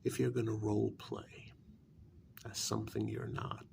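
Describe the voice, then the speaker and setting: Guy doing a resentful sarcastic kidnapper, asmr roleplay, super creaky soft half whisper, noticeable hiss. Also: lowish voice, flat delivery. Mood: scornful. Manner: slow, halting, accusatory, half-whispered. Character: bitter, sarcastic male kidnapper confronting a demon. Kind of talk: soft-spoken ASMR role-play monologue.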